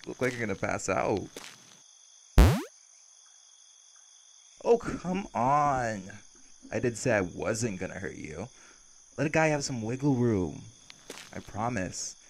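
A man's voice: mid-range voice, big pitch swings, a little slow, a little hiss or hum. A man playing a cheerful, cocky vampire who teases, flirts and casually threatens in an intimate audio roleplay. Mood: teasing reassurance.

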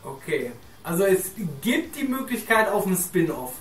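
A woman's voice: medium pitch, big pitch swings, moderate pace, roomy sound, a crowd in the background. A woman hosting casually in a living room, moving things along and putting questions as an interviewer. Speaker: host and interviewer.

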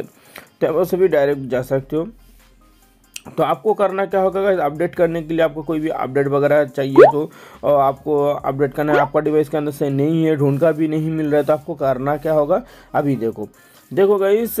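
A man with a fairly high-pitched voice walks viewers through a phone tutorial. He speaks casually and directly, step by step, often repeating his instructions. Light music plays behind him. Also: darkish tone. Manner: repetitive instructional phrasing, addressing viewers directly. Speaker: tech tutorial host. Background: background music.

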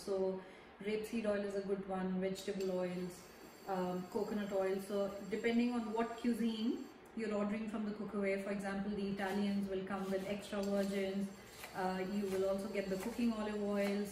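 A woman's voice: mid-range voice, recorded from a distance. A woman in her thirties, a chef, patiently talking someone through a cooking demonstration step by step in a large home kitchen. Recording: echoey kitchen with background noise.

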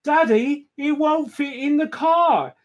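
A storyteller voicing an excitable, eager little girl cartoon pig from a storybook. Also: high voice, slow pace, voice pushed up. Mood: excited.

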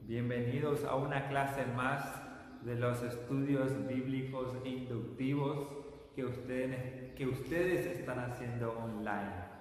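Slow Paraguayan man in an echoey room. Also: medium pitch, steady tone. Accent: Paraguayan Spanish. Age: 20s. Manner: slow, deliberate, gestures while speaking. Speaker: Bible teacher. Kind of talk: warm-toned classroom lecture to camera. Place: small room with a whiteboard, indoors. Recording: very echoey room with a long reverb tail and faint noise.

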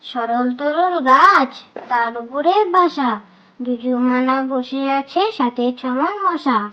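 A woman reciting children's nursery rhymes in sing-song, measured phrases. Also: sits high, lo-fi sound.

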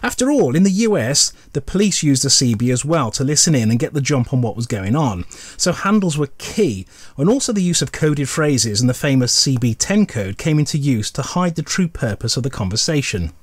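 A man's voice: mid-range voice, very animated, fairly quick, no room sound. A middle-aged British man giving a chatty, scripted retrospective narration with wry, colloquial humour. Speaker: presenter.

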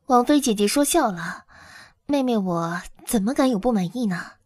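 Jealous concubine, syrupy mock humility, fairly high young voice, period audio drama actress. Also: female voice, lively intonation, normal speed, darkish tone, no echo. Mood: sugary, strained. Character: sugary, falsely humble jealous young concubine. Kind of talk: audio drama acting in Mandarin.

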